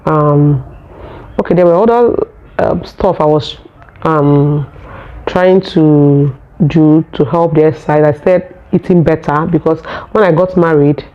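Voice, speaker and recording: A Nigerian woman who speaks English as a second language, telling her own story in a vlog in an earnest, unhurried way. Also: low-pitched, muffled recording. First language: Nigerian local language. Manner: earnest, unhurried, searching for words. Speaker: vlogger.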